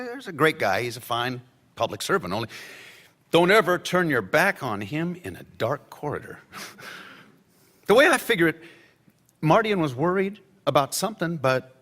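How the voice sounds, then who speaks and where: An actor playing a slightly drunk lawyer who rambles wryly while offering a toast in a radio drama. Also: male voice, on the high side, a bit bright, heavily acted. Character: tipsy, candid man, a newspaper's lawyer. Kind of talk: radio play acting.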